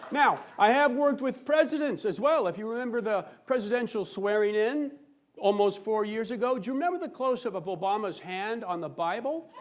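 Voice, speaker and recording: A man with a notably high voice delivers a humorous storytelling speech on stage. He boasts with a straight, mock-serious air and leans on theatrical pauses for effect. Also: animated. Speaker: speech contest competitor telling a comic tall tale. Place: live on stage before a laughing audience.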